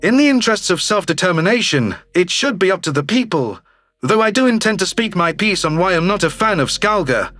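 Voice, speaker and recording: A man's voice: high-pitched, big pitch swings, brisk, fairly bright tone, no room sound, soft background music, pitched below the performer's own voice. A man voicing a smug, calculating alien governor, slick and self-satisfied, in a theatrical audio drama style. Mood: slick, persuasive. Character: smug, calculating male alien politician, newly elected governor. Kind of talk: scripted sci-fi audio drama dialogue.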